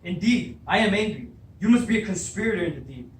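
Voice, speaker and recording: Young man acting a high-voiced, indignant king. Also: animated, fast talker. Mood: angry, accusing. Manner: indignant, accusing. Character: angry, suspicious, proud king. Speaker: drama student. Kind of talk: beginner stage readers' theatre. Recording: roomy, distant mic, faint noise.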